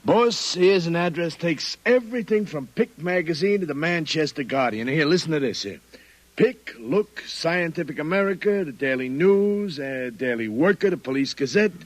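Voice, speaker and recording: An actor playing a dim, plain-spoken detective's assistant with slangy, unpolished speech in a 1950s radio drama. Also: male voice, on the high side, expressive, normal speed. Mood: eager.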